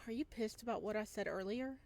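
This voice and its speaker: A woman hosts a gameplay session, reading a visual novel aloud. Her voice sits at a middle pitch. She moves between the written story and off-the-cuff asides. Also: fairly quick, creaky, darkish tone.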